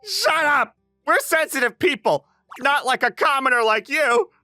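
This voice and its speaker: A man's voice: extremely high pitch, lively intonation, unhurried, bright, forceful tone, soft background music, heavily acted. A man voicing a spoiled, hot-headed elite schoolboy bully in an anime-style comic dub, haughty, indignant and whiny. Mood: whiny indignation.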